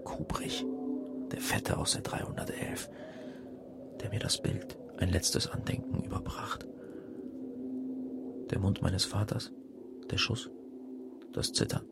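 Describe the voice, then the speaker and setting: An actor playing a haunted young son in a radio drama monologue, brooding in fragments with a gravelly, whispery voice. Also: male voice, sits low. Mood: tormented. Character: haunted young man brooding over his father's death.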